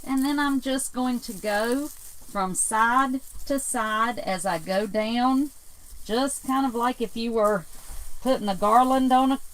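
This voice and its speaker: Relaxed woman explaining crafts. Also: mid-range voice, low-quality recording. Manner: relaxed, instructive, agreeable. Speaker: co-host, home decor designer, mother. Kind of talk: casual livestream craft demo.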